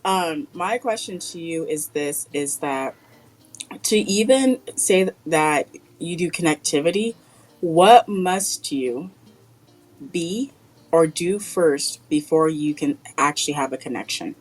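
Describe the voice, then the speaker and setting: Slow-talking woman podcast host. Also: low-pitched, lively intonation, rough recording. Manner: slow, deliberate, emphatic introductions. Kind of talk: podcast interview hosting.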